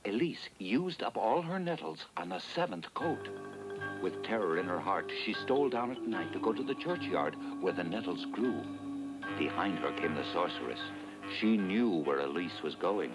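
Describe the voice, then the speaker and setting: A man narrating a cartoon fairy tale in a dramatic, expressive storytelling style over loud music. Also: deep voice, normal speed, low-quality recording.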